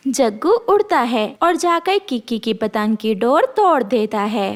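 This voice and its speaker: A woman narrates a children's cartoon as a storyteller. Her voice is high, and her pitch moves up and down in a lively way. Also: a little slow.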